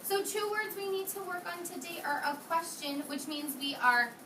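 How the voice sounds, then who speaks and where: A woman's voice: very high-pitched, lively intonation, moderate pace, far from the mic. A woman teaching kindergarten through an interactive read-aloud, asking questions and repeating answers back in a roomy, noisy classroom. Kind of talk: interactive read-aloud lesson for young children. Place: indoor classroom with young children.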